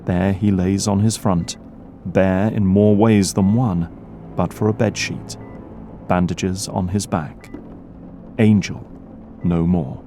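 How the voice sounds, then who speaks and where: A male narrator with a low, dark, relaxed voice reads dramatic scripted narration. Faint to clear ambient noise sits behind him. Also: takes it slowly, pauses often.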